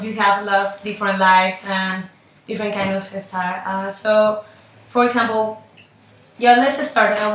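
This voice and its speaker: A woman's voice: medium pitch, fairly bright tone. A woman co-moderating a focus group, talking hesitantly with many fillers. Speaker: market research co-moderator. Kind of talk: role-played focus group. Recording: echoey room.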